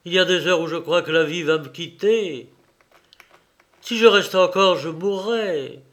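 A man's voice: high-pitched, measured pace, a bit bright. A man voicing an anguished, fearful young man who pleads with his mother in an agitated, dramatic way, as in an audiobook. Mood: desperate pleading.

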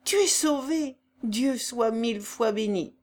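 A woman's voice: fairly high pitch, slow, darkish tone. A woman voicing an older godfather, emotional and tender toward a girl he thought lost. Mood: overjoyed. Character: anxious devoted godfather, older man.

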